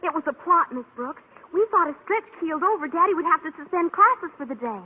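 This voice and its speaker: A woman's voice: extremely high pitch, expressive, a little fast. A woman playing an eager, scheming teenage schoolgirl in an old radio comedy. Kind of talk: 1940s-50s radio comedy acting. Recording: tinny, reverberant, noisy old radio broadcast.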